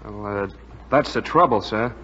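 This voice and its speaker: A man's voice: fairly low pitch, moderate pace, bright, forceful tone, slight background noise. An actor playing a dutiful, worried American enlisted man, deferential, in a 1940s radio drama. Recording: muffled, echoey 1940s radio recording.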